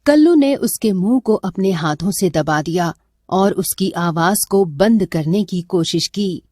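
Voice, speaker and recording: Female storyteller for dramatic tales: unhurried, soft dark tone, dry close recording. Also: on the low side, lively intonation, dry recording. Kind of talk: scripted dramatic story narration.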